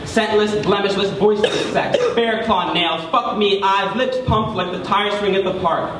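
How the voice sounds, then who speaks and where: High-voiced young man performing poetry, very echoey. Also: fairly even intonation. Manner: dramatic, deliberate phrasing with drawn-out emphasis. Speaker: spoken word poet performing on stage. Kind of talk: theatrical spoken word poetry performance. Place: large room before a live audience. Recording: echoey hall, distant mic, clear background noise.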